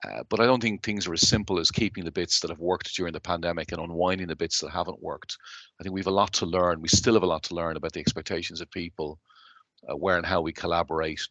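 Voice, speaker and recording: A man with a Dublin Irish accent, in his thirties, answering interview questions in a measured, businesslike way with frequent fillers and restarts. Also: sits low, steady tone, a bit bright, no echo. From Ireland. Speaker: guest, company chief executive.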